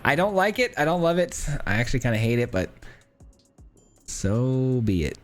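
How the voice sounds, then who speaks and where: Hoarse man narrating his card game. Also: medium pitch, very animated, moderate pace, soft background music. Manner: counts aloud and thinks through plays. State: hoarse, voice cracking. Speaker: gameplay streamer. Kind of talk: casual live gameplay commentary.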